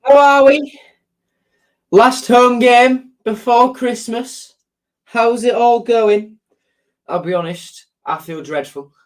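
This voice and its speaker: A man's voice: extremely high pitch, slow, long pauses, soft background music. A man hosting a live stream, chatting casually and answering viewer comments, with a sore throat and blocked nose, talking in a rambling way with lots of ums and repeated words.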